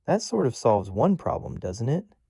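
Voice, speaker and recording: A man voicing a bright, eager young woman, talking with gushing enthusiasm in an audiobook. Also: medium pitch, very animated, normal speed, husky, no echo, studio quality, voice pushed up.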